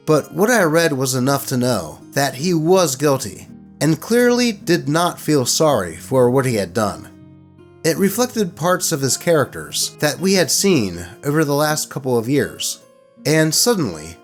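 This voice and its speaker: A man narrating stories in an expressive, colloquial way, with casual commentary mixed in. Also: a little high. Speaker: host reading online tabletop horror stories.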